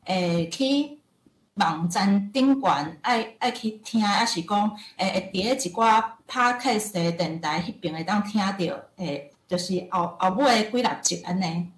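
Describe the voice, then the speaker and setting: A woman's voice: medium pitch, a little slow. Taiwanese woman asking questions, echoey audio. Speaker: class participant asking questions. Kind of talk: casual, halting questions. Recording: echoey online class audio.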